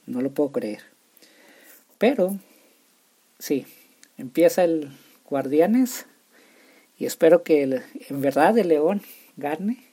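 Slow, halting man with a Chihuahua accent. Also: a little high, animated, mellow, slightly rough. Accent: northern Mexican, Chihuahua. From Chihuahua, Mexico. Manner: halting, stumbles over words and restarts. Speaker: podcast host. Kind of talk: casual solo monologue.

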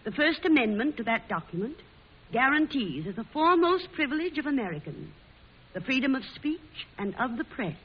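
An actress playing a defiant, fearless newspaper publisher in an old-time radio drama, with emphatic delivery. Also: female voice, sits high, very animated, brisk, husky, heavily acted. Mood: defiant, solemn. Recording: hissy, muffled old radio broadcast recording.

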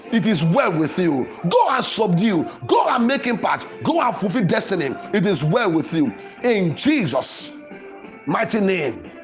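A man preaching fervently in a Pentecostal prayer style, with forceful, repetitive declarations. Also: sits high, highs cut off. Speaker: preacher leading a prayer podcast.

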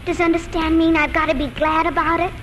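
An extremely high, earnest voice of an inquisitive little farm girl. It is 1940s radio acting, with faint noise on an old broadcast recording. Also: little pitch movement, brisk, fairly bright tone, muffled recording. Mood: curious. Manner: earnest, questioning.

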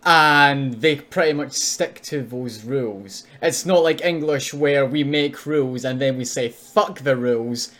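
A man's voice: medium pitch, a little slow, few pauses, fairly bright tone. A man whose first language is Polish, co-hosting a podcast and explaining earnestly in casual chat. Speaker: podcast co-host.

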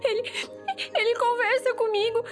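A woman playing a timid, tearful little boy who stammers haltingly in an audio drama. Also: very high voice, flat delivery, faint music behind. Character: timid, tearful little boy, clinging to his toy friend.

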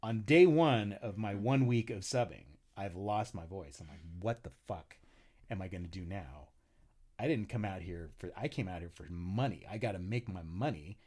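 Male guest, singer, loose profane storyteller, big swings in pitch. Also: moderate pace. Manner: animated, profane, laughs often. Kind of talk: casual chat, storytelling.

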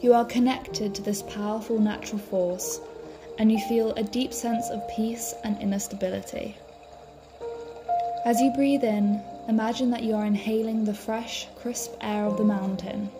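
Woman guiding a meditation over music. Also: a little high. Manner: calm, soothing, measured delivery. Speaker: meditation guide, podcast host. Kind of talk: guided meditation narration. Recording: music behind the voice. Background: background music.

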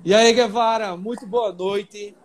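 A man's voice: sits high, steady tone, measured pace. A man hosting a live stream, introducing guests and asking questions with enthusiasm. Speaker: livestream host.

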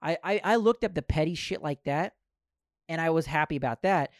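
A man's voice: fairly high pitch, a little fast, no room sound. Earnest man in his thirties, close dry sound. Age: 30s. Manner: earnest, rambling, repeats phrases, swears casually. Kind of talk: casual podcast chat.

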